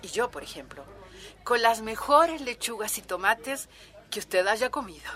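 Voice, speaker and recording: An actress in a radio drama playing an earnest, proud village farmer who speaks out as an activist in an interview. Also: female voice, on the high side, lively intonation, measured pace, pushed and bright. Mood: good-humoured, proud.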